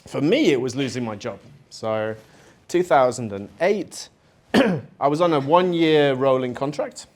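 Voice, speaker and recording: A man's voice: medium pitch, animated, measured pace, darkish tone. A man in his forties, raised in Spain, presenting at a conference in a casual, chatty way with frequent fillers and asides. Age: 40s. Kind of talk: conference talk. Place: conference venue.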